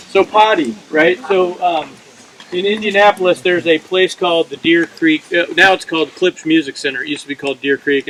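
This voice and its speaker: A middle-aged man telling an informal comic story to a group, acting out the dialogue in an animated way. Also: fairly high pitch. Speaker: campfire storyteller. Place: outdoors among a gathered crowd. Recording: noisy outdoor recording with audience chatter. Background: audience sounds, outdoor ambience.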